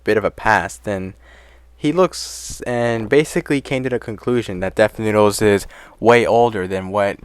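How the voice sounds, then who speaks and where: A man's voice: fairly low pitch, moderate pace, clean tone, no echo. A man giving casual, exasperated commentary on online drama, swearing and rambling through asides.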